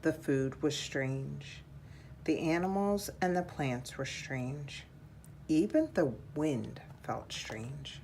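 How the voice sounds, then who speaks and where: A woman reading a children's picture book aloud in a measured, deliberate storytelling style. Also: sits low, expressive, a little mellow, a little hiss or hum.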